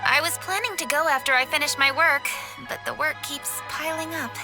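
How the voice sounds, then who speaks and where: A woman's voice: high voice, normal speed, over music. An actress voicing a formal, composed young woman official in a game cutscene.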